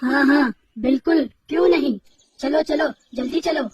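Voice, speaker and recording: Old female witch, put on by a narrator: high-pitched, gloating, quick-tempered, storybook horror. Far from mic, loud music. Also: natural voice. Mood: eager, impatient. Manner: gloating, theatrical, drawn-out words. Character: old child-eating witch, greedy, cackling, quick to anger. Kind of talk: dramatised storybook horror tale for children.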